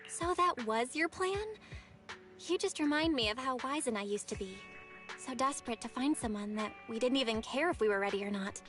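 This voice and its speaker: Game role: high-pitched young woman, friendly, earnest, teasing, music underneath. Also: expressive, fairly quick, fairly bright tone. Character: perky, friendly young woman guide, teasing but caring. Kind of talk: scripted video game voice acting. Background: background music.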